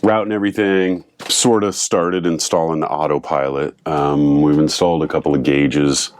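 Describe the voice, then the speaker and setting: American guy in his 50s, super low voice, chatting thru a diy job, kinda thinking out loud. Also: fairly even intonation, moderate pace, clean tone, no room sound, studio quality. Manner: informal, thinking aloud. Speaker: boat owner presenting a DIY installation. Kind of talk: casual explanatory vlog while working. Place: small wooden boat cabin. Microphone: clip-on lavalier on the chest.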